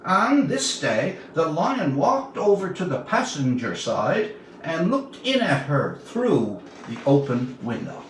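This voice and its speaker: A man of about seventy from Newfoundland, Canada, reading his own tale aloud as a storyteller, with deliberate, dramatic pauses. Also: fairly high pitch, big pitch swings. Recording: roomy, distant mic, faint background noise.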